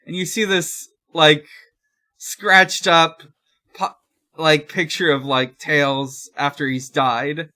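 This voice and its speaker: Slow-paced, halting podcast host. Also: mid-range voice, level tone, bright, forceful tone. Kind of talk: casual comic recap chat.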